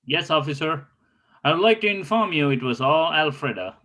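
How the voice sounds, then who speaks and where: A man's voice: on the high side, animated, normal speed, sharp tone. A man playing a self-assured, quirky and playful detective in an amateur script table read. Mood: smug, breezy.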